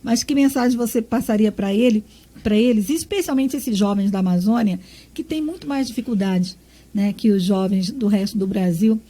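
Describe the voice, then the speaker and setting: A woman's voice: medium pitch, moderate pace. Effusive woman hosting a radio interview. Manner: warm, effusive. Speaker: radio host.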